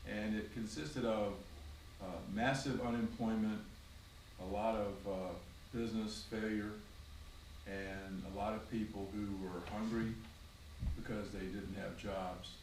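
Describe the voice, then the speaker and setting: A man in his sixties from Virginia, a grandfather answering his grandchild's questions at home by the fire, explaining in a measured way with frequent ums and uhs. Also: lowish voice, steady tone, roomy sound, distant mic, a little hiss or hum, rough recording. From Virginia, USA. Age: 60s. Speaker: grandfather being interviewed. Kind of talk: family interview, casual recollection. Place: home, beside a fireplace.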